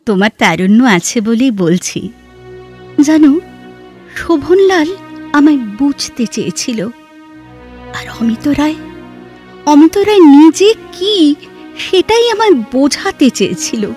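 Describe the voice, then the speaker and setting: A woman playing a reflective, scholarly, sorrow-laden heroine in an audio drama, speaking in a measured, lyrical way with expressive pauses. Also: high voice, soft background music, heavily acted. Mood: earnest, wistful. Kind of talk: Bengali audio drama dialogue.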